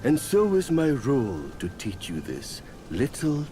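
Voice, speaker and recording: An actor playing a boastful, eccentric poet who mentors a hero in a game cutscene, speaking in a grand theatrical way. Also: male voice, medium pitch, wildly swooping pitch, measured pace, heavy background noise. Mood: grandiose. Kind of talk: video game cutscene dialogue.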